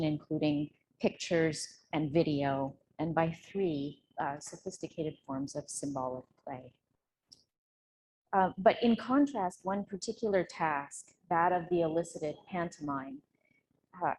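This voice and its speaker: A woman's voice: deep voice, darkish tone, slight background noise, rough recording. A woman giving an academic conference presentation at a workshop, explaining with informal asides. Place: live workshop room, relayed to video call.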